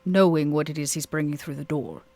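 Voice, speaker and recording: A player voicing a scheming, composed vampire in a tabletop roleplay, speaking in a measured, articulate and wry way. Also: medium pitch, darkish tone, natural voice. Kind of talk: actual play roleplay dialogue.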